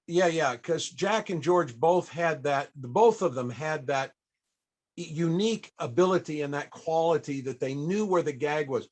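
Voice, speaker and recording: A man's voice: a little high, measured pace, darkish tone. A man hosting a panel conversation, encouraging his guest and repeating phrases for emphasis. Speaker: panel host and interviewer. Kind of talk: conversational interviewing.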